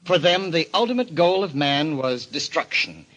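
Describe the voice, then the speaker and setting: Intense, grandiose explorer, forceful animated voice. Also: male voice, on the high side, normal speed, rough recording. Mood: brooding, fervent. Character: obsessed, arrogant male explorer enthralled by a cult of destruction. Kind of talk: old-time radio mystery acting.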